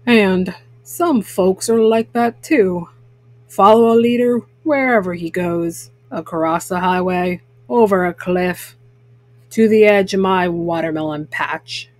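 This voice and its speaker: A woman voicing a folksy old male bear farmer, calm, wise and plain-spoken, giving gentle advice in a children's storybook. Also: medium pitch, takes it slowly, no echo, voice pushed down, natural voice. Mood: wise, advising.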